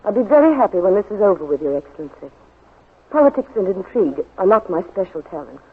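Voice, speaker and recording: A woman's voice: medium pitch, very animated, fast talker, slightly rough. Earnest woman spy, old radio drama. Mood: guarded, polite. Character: brave, earnest woman spy in love, working for China. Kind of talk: 1940s radio drama acting. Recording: muffled old 1940s radio broadcast, faint music.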